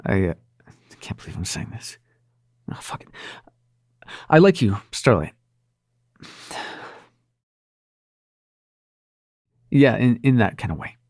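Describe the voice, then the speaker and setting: A man's voice: fairly low pitch, big pitch swings, a little mellow, no echo, clean studio sound. A man playing a brash, flustered prince of nightmares in love, stammering and swearing through an intimate audio roleplay. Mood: flustered, confessing. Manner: halting, stammering, sweary and sardonic. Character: male supernatural prince of nightmares, brash, flustered, smitten lover.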